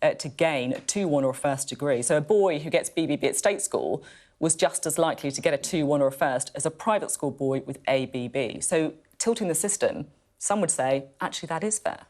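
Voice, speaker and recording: A female broadcast interviewer with a very deep voice for a woman. She puts challenging questions in a measured, careful way. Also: expressive, darkish tone. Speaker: news interviewer.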